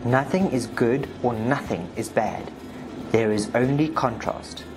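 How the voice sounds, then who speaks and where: A South African woman with a very deep voice narrates a spiritual update, reading transcripts aloud in an emphatic way. Music plays clearly behind her. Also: expressive, measured pace, rough recording. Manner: emphatic, forceful when reading the client. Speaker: video host, spiritual practitioner. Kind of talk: narration read from session transcripts.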